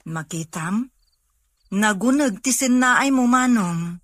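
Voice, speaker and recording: A woman's voice: a little high, lively intonation, takes it slowly, a bit bright, no room sound, clean studio sound, full character voice. A woman with an Ilocano Filipino accent playing a concerned, probing married sister in a radio drama.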